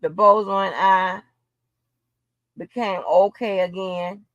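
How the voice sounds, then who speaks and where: A woman's voice: medium pitch, steady tone, slow, fairly bright tone, no room sound, highs cut off. A woman in an unscripted livestream rant, blunt, profane and confrontational, repeating phrases for emphasis. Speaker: livestream host.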